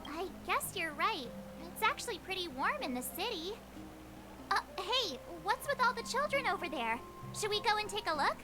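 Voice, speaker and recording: A very high, bouncy voice for a bubbly young adventurer girl in a video game. She chats in an excitable, playful way, and her pitch swings about freely. Game music runs underneath. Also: moderate pace. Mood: curious.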